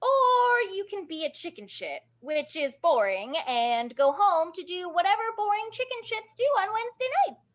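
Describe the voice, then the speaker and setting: A woman playing a brash, bubbly, blunt burlesque veteran in an animated table read, gushing and bossy with heavy emphasis. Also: extremely high pitch, normal speed, hardly any pauses, dull audio. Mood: confident, challenging.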